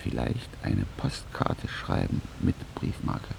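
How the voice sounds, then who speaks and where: A man's voice: slow pace, background noise, natural voice. An actor playing a weary, stubborn older male patient in a radio drama, muttering in terse, clipped fragments. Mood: musing.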